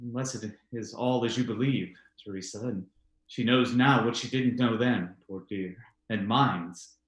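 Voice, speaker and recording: A man's voice: mid-range voice, a little slow, lightly acted. A man playing a tormented, guilt-ridden widower in love, melodramatic and brooding, in an old-time radio drama style, with a roomy echo. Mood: troubled, guilty. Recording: echoey, roomy sound.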